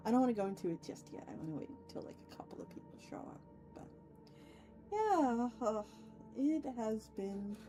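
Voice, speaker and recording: A woman hosting a livestream, chatting casually with her viewers in a playful way, with chatty asides and drawn-out emphasis. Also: medium pitch, low-quality recording. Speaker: VTuber streamer host. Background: soft ambient music.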